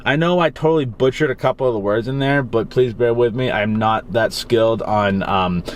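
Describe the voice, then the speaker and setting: A man's voice: medium pitch, normal speed, slight background noise. A young man with a Massachusetts accent chatting casually and rambling as he reviews a cigar from his car. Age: young adult. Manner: rambling, casual, swears freely. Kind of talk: casual vlog chat. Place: in his car on a rainy day.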